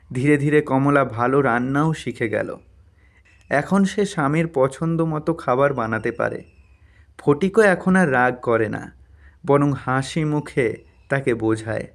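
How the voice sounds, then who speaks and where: A man's voice: mid-range voice, expressive, measured pace. A man narrating a scripted cartoon story as a storyteller.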